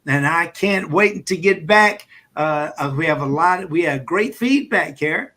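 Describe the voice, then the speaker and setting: A man, a doctor, hosting a live health stream in a loose, halting way with many fillers and light jokes. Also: on the high side, lively intonation, bright, forceful tone. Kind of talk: casual livestream talk.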